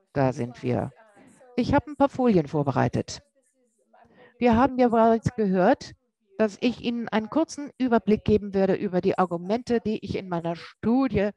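A woman interpreting a lecture live, speaking haltingly and often correcting herself mid-word. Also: mid-range voice, mellow, no room sound, rough recording. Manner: halting, with frequent self-corrections. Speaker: simultaneous interpreter.

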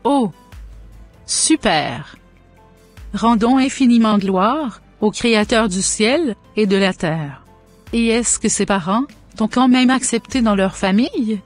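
High-voiced devout cartoon mother, played by a woman. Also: faint music behind. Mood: devout, advising. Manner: deliberate, advising. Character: devout, cautious mother advising her grown son. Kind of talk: scripted cartoon dialogue.

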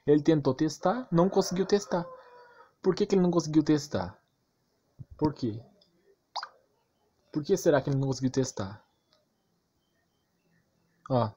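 A man's voice: a little high, moderate pace, pauses often, mellow, breathy edge, dull audio. A man with a Brazilian accent giving a casual, ranting game commentary, slangy and crude, emphatic and repetitive with rhetorical questions. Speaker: gaming YouTuber.

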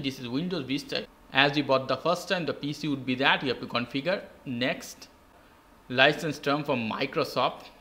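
A man's voice: mid-range voice, pushed and bright. A man hosting a step-by-step tech tutorial in simple, repetitive, non-native English phrasing. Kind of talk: step-by-step how-to narration. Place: indoors at a desk with a laptop.

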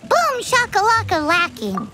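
A woman voicing a tiny childlike fairy companion in a video game, squeaky and cute, with exaggerated reactions. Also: huge pitch swings, a little slow, very noisy, heavily acted. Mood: agreeing. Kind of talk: cartoonish video game voice acting.

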